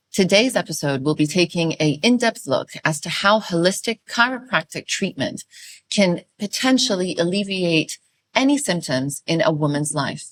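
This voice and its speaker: Host, woman in her thirties; friendly, slightly hesitant delivery, low voice. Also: a bit bright, dry recording. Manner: friendly, hesitant, searching for words. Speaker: podcast host. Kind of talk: podcast interview.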